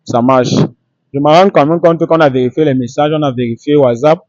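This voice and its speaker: Guy doing street interviews, highish voice, repeats himself a lot, pretty dry sound. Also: a little mellow, no room sound, lo-fi sound. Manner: repetitive, halting phrasing.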